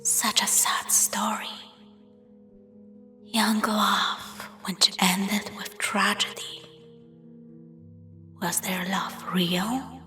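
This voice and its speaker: A woman narrating a spooky scripted story in a hushed, breathy, whispery voice, telling it dramatically. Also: medium pitch, steady tone, extremely slow, pauses often. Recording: long reverb, music underneath. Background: music.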